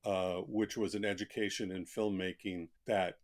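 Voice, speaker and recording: A man's voice: sits low, steady tone, normal speed. A man speaking as a guest author in a conversational interview, talkative and reflective, explaining things in plain asides.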